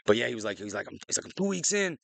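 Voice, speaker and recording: A man's voice: medium pitch, big pitch swings, very fast, vocal fry, very noisy. A young man from Flint, Michigan, casually telling crude jail stories and laughing as he mimics people. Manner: profane storytelling, laughs while doing voices. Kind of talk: casual chat.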